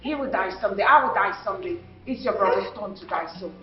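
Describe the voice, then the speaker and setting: A woman's voice: mid-range voice, fast talker, over music, lightly acted. A middle-aged woman with a Nigerian accent playing a brazen, hard-hearted widowed mother who snaps back with defiant questions in a film drama. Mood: defiant, cold. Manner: defiant, retorts with repeated questions. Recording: echoey room, muffled film audio.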